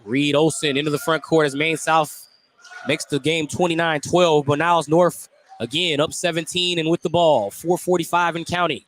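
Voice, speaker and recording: A man's voice: fairly high pitch, steady tone, moderate pace, a bit bright. A man doing live play-by-play basketball commentary for radio, conversational and given to joking asides between calls. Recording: clear background noise, live gym broadcast.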